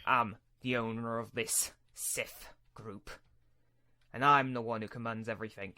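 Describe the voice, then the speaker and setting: A man's voice: mid-range voice, steady tone, a little slow, bright, forceful tone, dry recording. A man acting as an arrogant, menacing Sith master in a sci-fi roleplay, with a threatening, imperious manner. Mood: indignant, boastful. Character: arrogant, hot-tempered Sith lord master, menacing and domineering.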